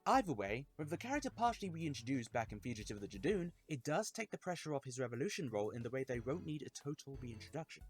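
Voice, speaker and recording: A man's voice: medium pitch, very animated, quick pace, hardly any pauses, slightly rough. A man delivers scripted commentary to viewers as a video host, leaning dramatically on key words. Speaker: YouTube reviewer and host.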